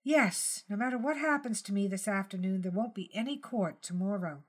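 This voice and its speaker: A woman's voice: medium pitch, moderate pace, natural voice. A woman voicing an anxious, wistful elderly wife in an audiobook story, speaking hesitantly and earnestly. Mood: absent, resigned. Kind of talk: audiobook character dialogue.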